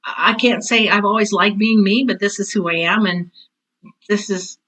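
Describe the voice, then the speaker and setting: A woman's voice: medium pitch, steady tone, normal speed, fairly bright tone, low-quality recording. A middle-aged or older woman telling personal stories as an interview guest, candid and emphatic, repeating phrases for stress. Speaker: interview guest, theater teacher and author. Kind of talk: casual personal storytelling in an interview.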